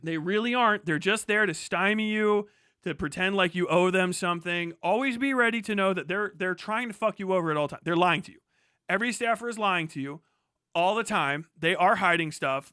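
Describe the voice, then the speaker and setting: A man's voice: high-pitched, a little fast, bright, forceful tone, clear, resonant tone, dry recording, studio quality. A man livestreaming casual, opinionated commentary on local news, speaking in a blunt, profane and emphatic manner.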